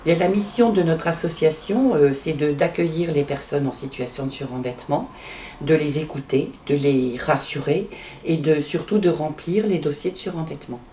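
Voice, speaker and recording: A woman's voice: deep voice, normal speed, dark tone, slight background noise. A woman in her sixties answering interview questions as a volunteer. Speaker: retired volunteer at debt-aid association.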